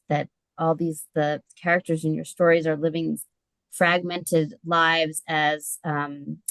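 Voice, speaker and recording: A woman moderating a literary event on a video call, chatty and relaxed, laughing often and talking with many fillers. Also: low-pitched, no room sound.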